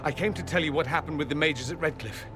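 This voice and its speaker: A man acting a wry, higher-voiced mage. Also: fast, noisy background, lightly acted. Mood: grave warning. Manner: urbane, arch, dramatic. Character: wry, theatrical young mage bearing grim warnings. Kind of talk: video game cutscene acting.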